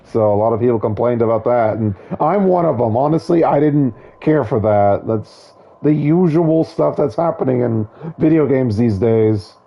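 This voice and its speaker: Hoarse gravelly man rambling about games. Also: mid-range voice, lively intonation, moderate pace, few pauses, lo-fi sound. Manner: opinionated, digressive, many restarts. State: hoarse, losing his voice. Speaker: gaming video commentator. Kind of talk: casual rambling commentary.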